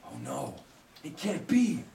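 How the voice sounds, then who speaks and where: A woman tells a children's story live with big swoops in her voice and theatrical, drawn-out phrasing. She is heard from a distance in an echoey room, performing for a young audience. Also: on the low side, very animated, gravelly, mellow. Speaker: storyteller for a children's puppet show. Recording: echoey room, far from mic, audience noise. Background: audience of a live show.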